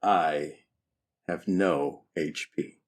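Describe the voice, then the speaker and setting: A man chatting casually and haltingly as a player at a tabletop game. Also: very deep voice, fairly even intonation, slight background noise.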